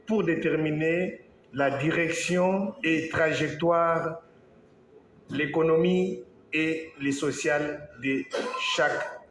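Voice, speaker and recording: A man from Congo, an engineer, giving a slow, halting talk to an audience, with pauses and restarts. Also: a little high. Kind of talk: speech to an audience. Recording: echoey hall, faint background noise.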